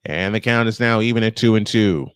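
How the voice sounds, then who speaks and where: A man's voice: lowish voice, a little fast, clear, resonant tone, no echo. A man calling live baseball play-by-play as a sportscaster. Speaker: play-by-play commentator on a live stream.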